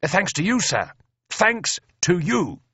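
A man's voice: high-pitched, big pitch swings, takes it slowly, pushed and bright, husky, no room sound, lo-fi sound, voice pushed up, exaggerated voice. A man voicing a huge, hearty British army officer, jubilant, effusive and exclamatory. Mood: admiring.

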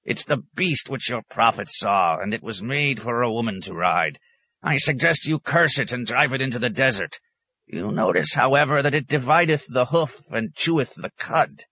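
An ancient, crotchety hermit, as a male narrator plays him in a storybook reading. He is sly and indignant, grumbling in grand old scriptural phrases. The voice is lifted well above the reader's low register, in a dry, close recording. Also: animated, moderate pace, bright, forceful tone, breathy edge, dry recording, full character voice, low-quality recording. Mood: cross, grumbling. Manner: grumbling, crusty, archaic scriptural turns.

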